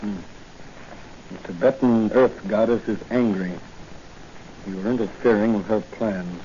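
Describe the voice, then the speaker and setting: An actor playing a confident, brisk police officer hero in a 1940s radio drama, decisive and matter-of-fact. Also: male voice, lowish voice, fairly even intonation, warm tone, close to an everyday voice. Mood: serious, wary. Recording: muffled, noisy 1940 radio recording.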